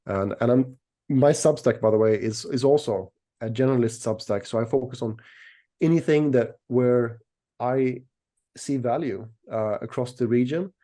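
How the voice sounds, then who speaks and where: A middle-aged man from Sweden speaks as an interview guest, explaining things conversationally. His voice is mellow and relaxed, sitting at a medium pitch. He picks his phrasing with care and often doubles back on a word. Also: normal speed. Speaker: interview guest, equities analyst and newsletter writer.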